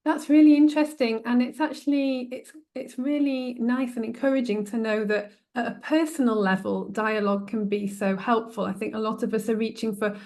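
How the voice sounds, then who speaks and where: A woman's voice: fairly high pitch, steady tone, fairly quick, hardly any pauses, a little mellow. A woman moderating a webinar, encouraging and conversational as she responds and relays questions from the audience.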